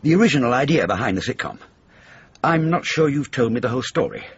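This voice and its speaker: Husky ageing British writer, rueful. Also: male voice, medium pitch, expressive, fast talker, highs cut off. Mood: indignant. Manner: wry, self-pitying, wordy. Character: ageing jaded male comedy writer, rueful and indignant. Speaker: actor. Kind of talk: radio comedy drama acting.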